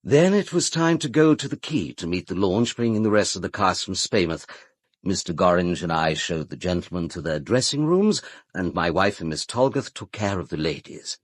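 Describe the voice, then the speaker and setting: A British actor playing a formal, discreet, unflappable castle butler in a radio drama, with stiff, precise, deferential speech. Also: male voice, low voice, animated, moderate pace, no room sound, clean studio sound. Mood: matter-of-fact. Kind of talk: full-cast radio drama.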